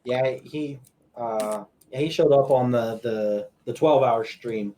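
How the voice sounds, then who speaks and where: Laid-back guest from Colorado. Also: male voice, medium pitch, fairly even intonation, slow pace, mellow. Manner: laid-back, swears casually, chuckles at stories. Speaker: podcast guest, streamer. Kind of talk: casual chat.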